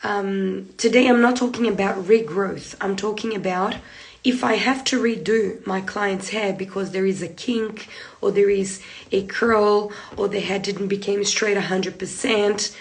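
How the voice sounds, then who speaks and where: A hoarse woman in her thirties talks hairdressers through a live tutorial, seated close to a phone in a small room. Her voice is gravelly and rough from a cold, and she circles back to her points in a chatty way. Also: medium pitch, unhurried, low-quality recording. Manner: chatty, repeats points, gestures while talking. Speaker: hair treatment educator. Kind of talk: live-streamed tutorial talk. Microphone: phone camera close in front.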